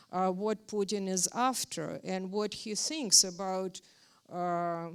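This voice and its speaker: Woman giving a talk, earnest and emphatic, smooth clear voice, middle pitch. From Ukraine. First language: Ukrainian. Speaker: guest speaker. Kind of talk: university lecture.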